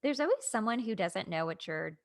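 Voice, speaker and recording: Woman, mid-range pitch with noticeable vocal fry, casual conversational podcaster who rambles through asides and quoted dialogue. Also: lively intonation, brisk. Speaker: podcast host and aspiring coach. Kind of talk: casual chat.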